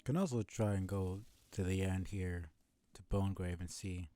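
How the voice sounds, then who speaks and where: Low-voiced man, mellow streamer. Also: normal speed, soft background music. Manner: low-key, musing, thinking aloud. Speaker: video game streamer. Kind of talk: casual live playthrough commentary.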